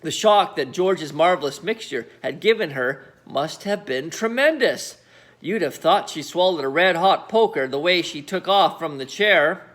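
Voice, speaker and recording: A man narrates a children's story in a bright, high voice. His delivery is very animated and dramatic, leaning on key phrases and pausing deliberately between them. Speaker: storyteller reading aloud.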